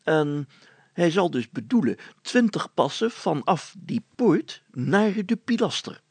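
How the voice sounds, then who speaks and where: A man voicing a pompous, fussy older village mayor in a storybook, formal, deliberate and full of hesitant hemming. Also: a little high, big pitch swings, darkish tone, slightly rough, dry recording, voice pushed up, exaggerated voice. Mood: searching, explaining.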